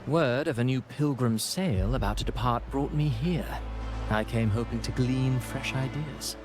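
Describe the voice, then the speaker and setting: Suave game playwright played by a man: mid-pitched, florid, courteous storyteller, music underneath. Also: a little slow, close to an everyday voice. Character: courtly, theatrical male playwright, suave and ingratiating. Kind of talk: video game voice acting. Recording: music behind the voice.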